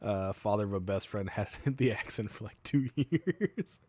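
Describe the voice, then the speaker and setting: A man's voice: lowish voice, lively intonation, unhurried, darkish tone, slightly rough. A man with an Albertan Canadian accent, hosting a livestream and rambling casually, with loose, profane asides. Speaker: livestream host.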